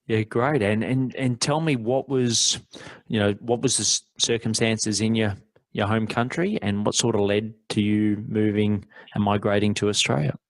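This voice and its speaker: A man's voice: lowish voice, steady tone, normal speed, no echo. A man hosting a podcast interview, asking friendly and encouraging questions. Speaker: podcast host. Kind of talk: casual interview.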